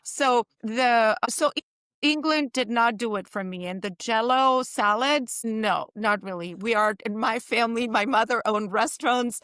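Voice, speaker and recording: A woman of around sixty with a French accent, a native Spanish speaker raised in Spain and Portugal, telling stories as an interview guest in a lively, chatty way. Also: fairly high pitch, a little slow, no room sound. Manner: lively, chatty, acts out other people's lines. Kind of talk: casual storytelling in an interview.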